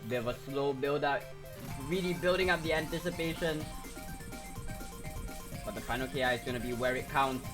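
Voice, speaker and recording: Excitable male esports caster over game music. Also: mid-range voice, normal speed, pauses often. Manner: excitable, shouts at big plays. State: going hoarse. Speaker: esports commentator. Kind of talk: live esports commentary.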